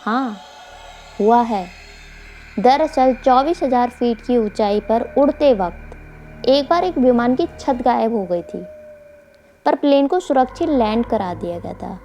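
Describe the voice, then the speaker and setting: High, mellow-voiced woman telling mystery stories. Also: animated, a little slow, faint music behind. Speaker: storyteller, channel host. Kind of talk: narrating a mystery story for online video. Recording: faint background noise.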